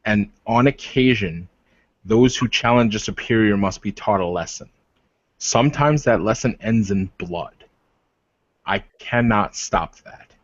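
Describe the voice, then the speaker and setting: A man's voice: lowish voice, unhurried, no room sound, muffled recording. A man playing a proud, wounded werewolf war leader in a tabletop roleplay, speaking formally and defensively. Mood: stern.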